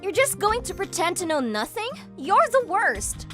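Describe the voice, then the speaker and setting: Woman playing a high-pitched haughty young heiress. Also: big pitch swings, fairly quick, music in the background. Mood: indignant. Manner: sharp-tongued, scornful. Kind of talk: anime-style manga dub acting.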